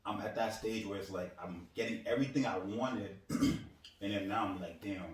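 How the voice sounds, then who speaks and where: A man's voice: fairly low pitch, normal speed. A man from Liberia chatting casually on a podcast, rambling loosely and often repeating himself. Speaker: podcast regular. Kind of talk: casual chat. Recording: echoey room, distant mic.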